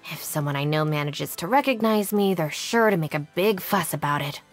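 Composed young gang second-in-command from a video game, lowish voice, dry n sure of herself, music behind. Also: female voice, animated, normal speed. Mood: firm. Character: capable, composed young female deputy gang leader. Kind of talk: scripted game character monologue. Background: background music.

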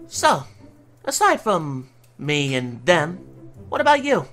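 A brash, flirty demon guy acted by a woman in a cartoon comic dub, low for a female voice and swinging widely in pitch. He talks in sassy slang and sugary pet names. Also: very animated, takes it slowly, fairly bright tone, soft background music, exaggerated voice. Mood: candid, wry.

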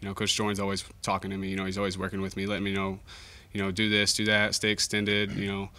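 A young man, a college baseball pitcher, giving modest, understated answers at a post-game press conference. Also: completely flat delivery, fast talker, pushed and bright. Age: young adult. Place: indoor press conference room. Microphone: table microphone.

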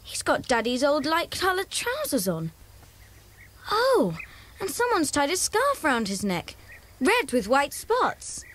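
Excitable British schoolgirl, high animated voice, emphatic, children's audio drama. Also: slow pace, full character voice. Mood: excited.

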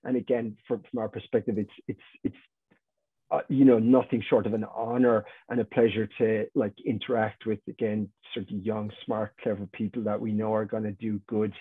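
A middle-aged man, a university academic, speaking haltingly with many repeated words on a webinar panel. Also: lowish voice, flat delivery, breathy edge, no room sound. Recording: tinny dry video call audio.

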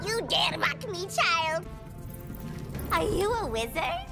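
Game villainess: very high, swooping, cheeky and manic, slangy mockery, loud music underneath. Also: female voice, sing-song, slow pace, heavily acted. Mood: mocking. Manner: slangy, mocking, playful. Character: zany bratty young female villain, cheeky and manic.

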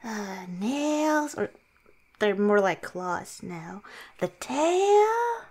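A woman voicing a cute, insecure young werewolf girlfriend in an improvised ASMR roleplay, speaking to the listener in a halting, pouty, self-deprecating way. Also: mid-range voice, big pitch swings, a little mellow, full character voice. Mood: embarrassed, insistent.

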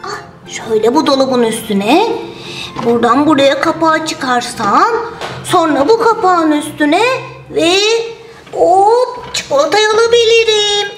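A woman's voice: high-pitched, lively intonation, a little slow. A woman voicing a playful, greedy toy turtle in a children's toy story, exclamatory and sing-song. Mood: excited. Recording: roomy sound with music underneath.

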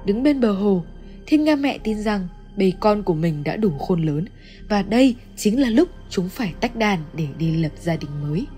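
A woman's voice: medium pitch, lively intonation, moderate pace, warm tone. Woman narrating over background music. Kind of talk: scripted story recap narration. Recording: music under the voice.

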